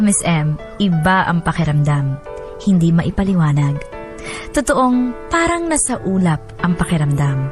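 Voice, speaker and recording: A woman's voice: lowish voice, darkish tone, close to an everyday voice. A woman playing a happily married young wife, narrating her sentimental letter in a confiding way for a radio drama. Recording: voice over background music. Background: music.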